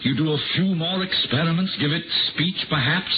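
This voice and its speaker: An actor playing a worried, reproachful, cautious friend in a 1950s radio suspense drama. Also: male voice, on the high side, moderate pace, lightly acted. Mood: alarmed, reproachful. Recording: very noisy, narrow-band 1950s radio recording.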